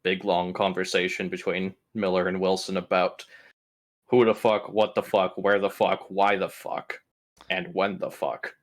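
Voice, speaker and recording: A man's voice: deep voice, flat delivery, measured pace. A man casually recapping tabletop game events as a player, blunt and sardonic, with plenty of swearing.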